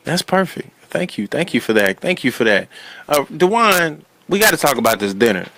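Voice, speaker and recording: A man's voice: fairly high pitch, big pitch swings, normal speed, fairly bright tone, a little hiss or hum. A man hosting a talk show in a casual, conversational style, often repeating his phrases.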